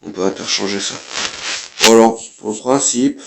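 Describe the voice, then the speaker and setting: A man's voice: on the low side, moderate pace, vocal fry, background noise. A man, who has a cold, giving casual, blunt let's play commentary as a gaming YouTuber and swearing offhandedly.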